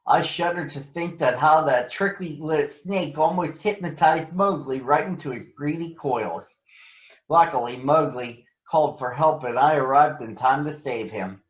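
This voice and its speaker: An earnest, protective panther, played by a man, narrates a storybook jungle tale. The voice is measured and a little high. It sounds roomy, with slightly muffled lo-fi audio. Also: close to an everyday voice. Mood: earnest storytelling. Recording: roomy echo, dull lo-fi sound.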